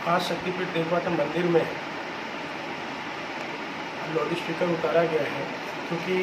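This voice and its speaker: A man giving a public statement as a temple representative, in halting phrases with repeated filler words. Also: on the high side. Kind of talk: spoken public statement and appeal. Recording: echoey, very noisy on-location recording.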